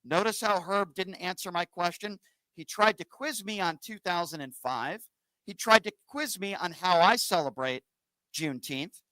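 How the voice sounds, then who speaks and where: A male radio host who argues hard with callers and needles them with sarcasm. His voice is bright and forceful and sits a little high. The sound is dry and close. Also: normal speed, no room sound, rough recording. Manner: combative, sarcastic, talks over callers. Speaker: radio call-in show host. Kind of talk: political call-in radio talk.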